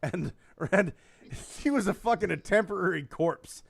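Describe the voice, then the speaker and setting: A man hosting a casual group chat, telling stories in an animated way and swearing freely. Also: high-pitched, normal speed, gravelly, no room sound. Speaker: host of a comedy hobby show.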